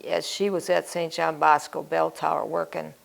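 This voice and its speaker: An older woman with an American accent tells her own story in an interview. Her voice sits low and is heavily creaky and gravelly. She speaks plainly, in short clipped fragments. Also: little pitch movement, normal speed, rough recording. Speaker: interview guest. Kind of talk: personal life-story interview.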